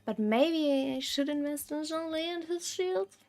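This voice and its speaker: Slow-paced woman streaming games, wry and blunt. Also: sits high, faint music behind. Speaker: game streamer. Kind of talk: casual live gameplay commentary.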